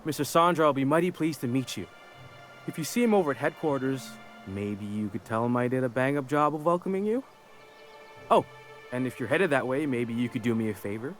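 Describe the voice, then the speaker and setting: A man's voice: medium pitch, lively intonation, a little fast, soft background music, natural voice. An actor playing an eager, chummy, rambling gatekeeper clerk in a video game, a man with a friendly manner. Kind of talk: video game character dialogue.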